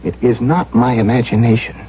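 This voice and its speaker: A man's voice: medium pitch, brisk, breathy edge. An actor playing a frantic, haunted man in a radio drama, stammering and insistent. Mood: urgent, insistent. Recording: dull vintage radio, faint noise.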